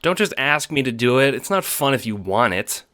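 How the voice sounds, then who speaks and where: A man puts on a mocking alien troll teenager for an audio drama. The voice is sharp and forceful, pushed up from his deep natural register. The delivery is sneering, bossy and exasperated, with theatrical flair. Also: sing-song, moderate pace, a little hiss or hum.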